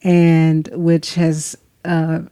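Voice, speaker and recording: A middle-aged woman from Tennessee, speaking as an interview guest in a reflective, soft-spoken way with pauses. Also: low-pitched, completely flat delivery, smooth, dry recording, studio quality. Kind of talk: conversational interview. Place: recording session in Atlanta.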